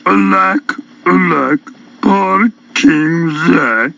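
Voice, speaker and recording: A man playing a vain, whiny, pompous king with a raspy voice in a children's audio story. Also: high-pitched, drawn-out words, pushed and bright, no echo, heavily acted. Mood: whiny, self-pitying. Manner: pompous, complaining.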